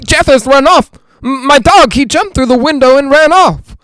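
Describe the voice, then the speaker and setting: A man playing an excitable, skeptical reporter in a 1940s-style radio drama, hesitant and stammering when rattled. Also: very high-pitched, normal speed, no room sound. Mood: alarmed. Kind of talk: 1940s radio drama acting, live on-the-scene commentary.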